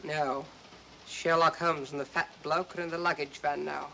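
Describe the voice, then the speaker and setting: A man's voice: fairly high pitch, little pitch movement, moderate pace, gravelly, pushed and bright, full character voice. An actor playing a nervous, grasping henchman and killer in a 1940s film thriller, speaking in clipped, colloquial lines. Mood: matter-of-fact. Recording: noisy, aged film soundtrack.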